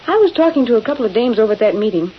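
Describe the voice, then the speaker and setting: A woman playing a breezy, excitable newspaper photographer who talks in wisecracking slang, old-time radio style. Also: fairly high pitch, rapid-fire, lightly acted. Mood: excited, breezy. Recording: dull, hissy old radio recording.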